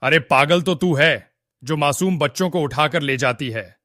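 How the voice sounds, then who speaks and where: Man acting a higher-pitched stern village exorcist. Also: unhurried, bright, forceful tone, no room sound, clean studio sound. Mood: stern threat. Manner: commanding, warning. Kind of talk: Hindi cartoon story acting.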